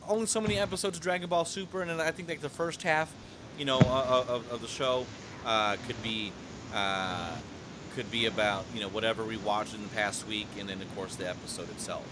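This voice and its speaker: A man hosting a casual podcast chat, animated and swearing casually as he talks. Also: fairly low pitch, brisk, heavy background noise. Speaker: podcast host.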